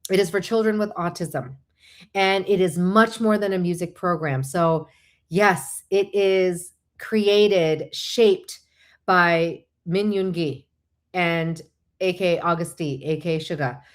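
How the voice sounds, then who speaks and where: A woman's voice: on the low side, steady tone, slow. A woman whose first language is Korean, hosting a casual, chatty livestream, often digressing and thinking aloud as she replies to viewers. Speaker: host of a live online show; licensed therapist.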